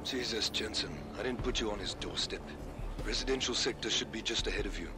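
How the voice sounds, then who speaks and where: A man's voice: fairly low pitch, fairly quick, music in the background, natural voice. An actor playing a wisecracking, crude, easygoing pilot who ribs his passenger in a video game cutscene. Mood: joking. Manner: joking, crude, relaxed.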